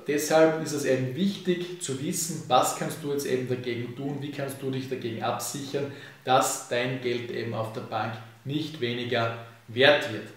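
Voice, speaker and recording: Guy in his 30s explaining money stuff, lots of uhs, mic kinda far, very roomy echo. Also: mid-range voice. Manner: relaxed, frequent fillers and "eben", hand gestures. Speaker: finance YouTuber. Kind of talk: casual explainer to camera. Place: home office with bookshelves, indoors. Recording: echoey room, distant mic.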